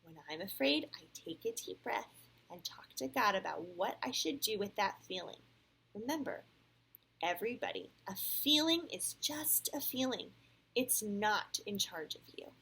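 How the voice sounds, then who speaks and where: A woman voicing a patient, understanding female schoolteacher in a children's storybook, calm and instructive. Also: mid-range voice, normal speed, vocal fry, breathy edge, exaggerated voice, rough recording. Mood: reassuring.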